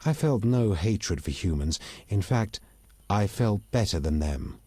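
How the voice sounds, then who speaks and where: A man playing a proud, bitter elf rebel in a video game, articulate and measured, with open disdain. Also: fairly low pitch, expressive, dark tone, husky, dry recording, heavily acted. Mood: bitter, reflective. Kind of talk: video game dialogue acting.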